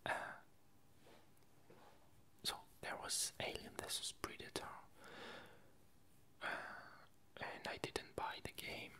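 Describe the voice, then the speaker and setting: Slow French-accented ASMR whisperer of unclear sex. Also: sharp tone. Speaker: ASMR video creator. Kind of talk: whispered ASMR casual chat.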